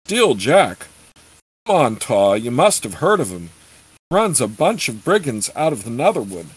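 Persuasive male rogue for a fantasy storybook man narrator acting, chummy, coaxing, very lively pitch movement. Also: normal speed, husky, rough recording. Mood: eager, persuasive. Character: smooth-talking, persuasive male rogue leader of beggar band. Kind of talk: storybook character reading.

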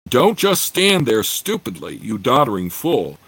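A man voicing a fussy, imperious male dwarf lord who scolds his servant in a high, shrill voice. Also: wildly swooping pitch, unhurried, a bit bright, husky, no echo, heavily acted. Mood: scolding.

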